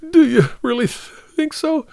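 A man voicing a tearful, doubting young farm woman in a story, plaintive and halting. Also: very high voice, vocal fry, mellow, voice pushed up.

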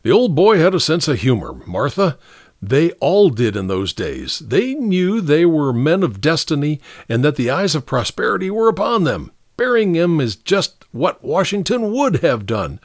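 Narrator as male amateur detective, eager, stubborn, emphatic, excitable, audiobook mystery, swooping pitch, dry sound. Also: moderate pace, no echo. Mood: hasty reassurance.

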